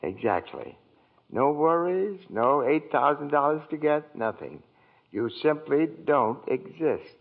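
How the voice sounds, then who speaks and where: An older actor playing a gentle, fussy male angel with quaint old-fashioned phrasing, in 1940s radio acting on an old broadcast. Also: on the high side, animated, measured pace, phone-quality sound. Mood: earnest.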